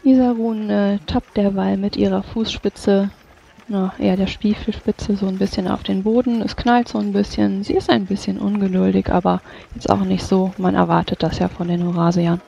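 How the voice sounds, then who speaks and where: A woman's voice: medium pitch, flat delivery, normal speed, creaky, dark tone, muffled recording, a little hiss or hum. A woman hesitantly and casually narrating her character in the third person as a tabletop player.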